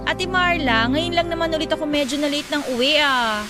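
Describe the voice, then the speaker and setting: An actress playing a young college-age daughter in a radio drama, polite but quick to defend herself. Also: female voice, high voice, over loud music, close to an everyday voice. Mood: defensive. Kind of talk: Tagalog radio drama acting.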